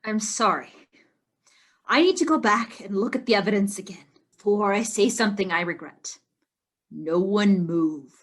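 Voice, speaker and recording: A woman acting as an earnest amateur detective in an audio drama, stern and confrontational, with a husky voice. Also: mid-range voice, normal speed, long pauses, lightly acted. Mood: shaken, regretful.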